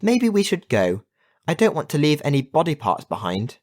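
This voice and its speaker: Man putting on a cheeky, high-pitched young girl. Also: very animated, takes it slowly. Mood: uneasy. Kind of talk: children's audiobook character.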